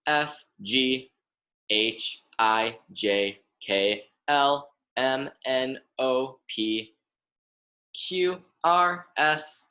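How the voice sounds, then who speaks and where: A young adult American man reads a children's book aloud as a lesson. His pace is unhurried and his intonation is lively, rising and falling widely. He instructs plainly, repeating and stressing words for young listeners. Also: medium pitch. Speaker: graduate student reading aloud to children.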